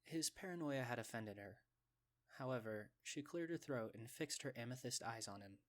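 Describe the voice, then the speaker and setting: A man's voice: mid-range voice, lively intonation, moderate pace. A man narrating a scripted story in an audiobook style.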